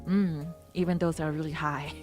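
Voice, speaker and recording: A woman streamer chatting casually with a low voice, giggling often and mixing in Japanese phrases. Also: steady tone, normal speed, darkish tone. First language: not Japanese. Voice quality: lower voice, prefers low register. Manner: chatty, giggly, switches between English and Japanese. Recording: faint music behind.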